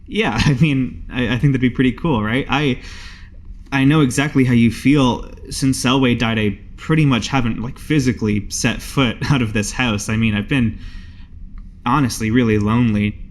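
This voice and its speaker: A man acting as a shy young siren wizard in a tabletop game. He sounds like a casual, sleepy recluse, hedging as he talks in a middle-pitched male voice. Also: natural voice. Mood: shy, candid.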